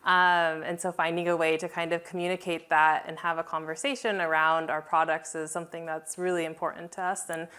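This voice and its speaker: A woman's voice: low-pitched, level tone, a little fast, hardly any pauses, fairly bright tone, smooth. A woman chocolatier who grew up in Japan, chatting enthusiastically on a live panel. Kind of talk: panel discussion.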